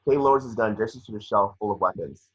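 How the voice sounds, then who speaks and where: A man's voice: lowish voice, fast talker, slight background noise. A man reading scripted action descriptions aloud as narrator of a film table read, occasionally stumbling. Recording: dry, dull lo-fi home recording.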